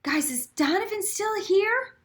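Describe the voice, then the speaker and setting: A woman's voice: very high-pitched, lively intonation, measured pace, pitched above the performer's own voice. A woman voicing an earnest, flustered, brainy schoolgirl who pleads her case in a storybook reading. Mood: eager.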